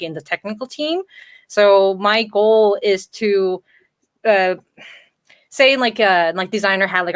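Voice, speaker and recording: Guest, woman, native Korean speaker; lower register, dry close sound, chatty and filler-heavy. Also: a bit bright, dry recording. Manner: chatty, lots of "like" fillers, laughs often. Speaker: guest, entertainment design coordinator. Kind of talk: casual interview chat.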